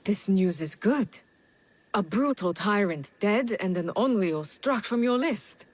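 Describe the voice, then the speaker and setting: Hard-edged, vengeful comrade in a feudal game drama: medium pitch, firm, emphatic. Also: female voice, lively intonation, slow pace, darkish tone, dry recording. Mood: hard, satisfied. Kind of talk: dramatic video game cutscene acting.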